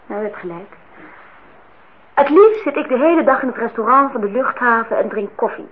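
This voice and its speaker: A woman's voice: fairly high pitch, animated, fairly quick, narrow, tinny audio, natural voice. An actress playing a forthright, teasing young woman in a naturalistic radio drama, on a noisy old recording. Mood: teasing, challenging. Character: cool, teasing, independent young woman visitor.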